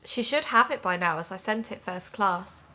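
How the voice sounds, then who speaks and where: An actress playing an earnest woman amateur sleuth who hosts an investigative podcast, narrating calmly. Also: medium pitch, normal speed. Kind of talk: audio drama narration and dialogue.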